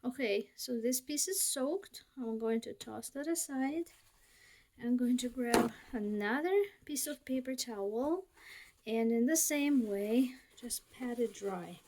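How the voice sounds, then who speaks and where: A young woman hosting a casual cooking video, chatty and full of fillers, her voice raspy from wildfire smoke. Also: on the high side, slow pace, warm tone, slight background noise. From northern United States.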